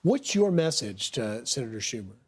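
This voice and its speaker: A man's voice: mid-range voice, very animated, moderate pace, slight background noise. A man hosting a broadcast news show, introducing guests and putting questions to them in an interview.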